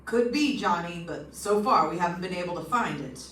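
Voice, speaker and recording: A woman's voice: lowish voice, lively intonation, normal speed, a bit bright, slight background noise. Woman acting as world-weary police lieutenant, matter-of-fact, radio drama, roomy, distant. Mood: cautious, doubtful. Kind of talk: old-time radio detective acting. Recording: echoey stage, distant mic.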